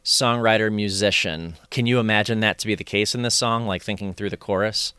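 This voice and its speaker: A man with a lowish voice and a clear, bright tone hosts a relaxed music chat. He backs up his guest warmly and asks considered follow-ups. The sound is clean and dry on a close mic. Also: fairly quick, no echo. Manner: affirming, asks thoughtful questions. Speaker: podcast host. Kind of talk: casual interview chat.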